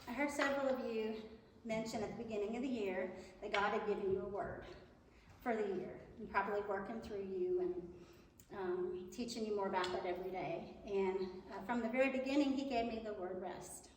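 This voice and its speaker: A woman's voice: mid-range voice, moderate pace, slight background noise. A woman giving a Mother's Day talk at church, earnest and chatty, a little nervous, with a big voice. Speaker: guest speaker at church. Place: church sanctuary. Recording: echoey hall, mic from far off.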